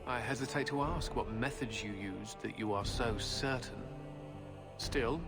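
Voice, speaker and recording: A grave, formal military commander of middling pitch acted by a man in a fantasy game. He speaks with measured authority while very loud music plays behind him. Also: close to an everyday voice. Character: stern, formal, authoritative male knight commander. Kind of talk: cinematic fantasy game acting.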